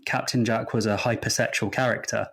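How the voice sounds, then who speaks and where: A man's voice: on the low side, steady tone, brisk, creaky, a bit bright, slightly rough. Reflective man in casual podcast conversation. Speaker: podcast guest. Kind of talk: casual fan discussion.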